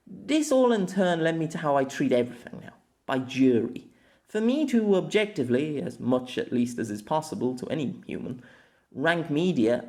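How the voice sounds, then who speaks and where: A man narrating a scripted opinion essay as host, in deliberate, formal phrasing with colloquial asides. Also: on the high side, a little mellow. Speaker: host of an anime audio essay.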